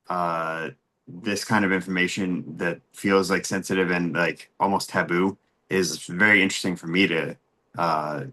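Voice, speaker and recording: A man's voice: deep voice, bright, forceful tone. A man giving casual feedback, hesitantly piecing his thoughts together with lots of filler words.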